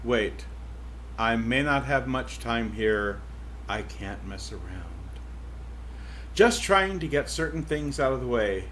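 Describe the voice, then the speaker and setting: Man reading as a cow narrator, witty, digressive, mid-pitched, faint background hiss. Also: expressive, slow pace, a bit bright. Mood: wry. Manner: wry, digressive storytelling. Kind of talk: reading a novel aloud.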